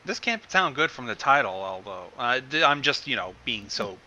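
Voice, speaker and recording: A man hosting a casual livestream, commenting in a hesitant, halting way. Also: medium pitch, sing-song, pushed and bright, dull audio. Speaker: livestream host.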